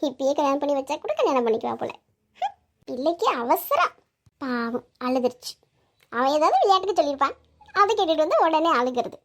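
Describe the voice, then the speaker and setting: A woman's voice: sits high, very animated, brisk, pauses often. Woman acting a doting, coaxing middle-aged mother. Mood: fond, determined. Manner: coaxing, soothing, colloquial. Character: doting middle-aged mother, protective, scheming her daughter's marriage. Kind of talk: melodramatic family audio drama acting. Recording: dull lo-fi audio.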